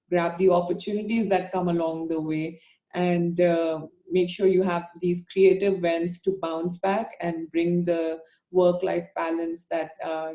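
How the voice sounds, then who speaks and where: A woman presenting to a panel in a flat, gravelly voice with a mellow tone. She pauses often and hesitates as she speaks. The sound is roomy and a little dull. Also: on the low side. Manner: hesitant, many filler pauses. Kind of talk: presentation talk.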